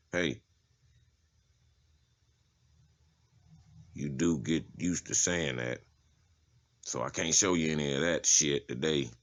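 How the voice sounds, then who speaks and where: Tough male convict for a comic drama, played by a male actor: extremely low voice, slangy, foul-mouthed, laid-back phrasing. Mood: matter-of-fact. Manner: casual, slangy, profane. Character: tough, streetwise adult male prison inmate giving a tour. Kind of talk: comic-book dramatization, character acting.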